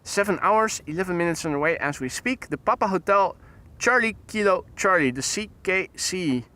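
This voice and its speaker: A man's voice: sits high, expressive, normal speed, pushed and bright. A man hosting a live plane-spotting stream, chatting and greeting viewers by name as he commentates. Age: 40s.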